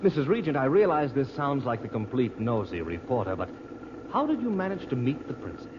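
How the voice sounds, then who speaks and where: An actor playing a polite, persistent foreign correspondent in an old radio drama. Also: male voice, medium pitch, very animated, a little fast, background noise, lightly acted. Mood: polite, curious. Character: prying, genial male foreign correspondent, persistent and suave. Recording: dull old radio recording with music.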